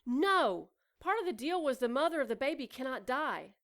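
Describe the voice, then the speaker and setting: A woman's voice: sits high, big pitch swings, moderate pace, a bit bright, heavily acted. An actress playing a self-absorbed expectant mother in a noir audio drama, coy, defensive and sly. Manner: coy, mock-proper, defensive.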